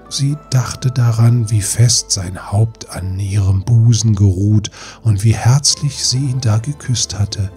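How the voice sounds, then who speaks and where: A man's voice: on the low side, darkish tone. A man reading a scripted fairy tale as an audiobook narrator. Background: music.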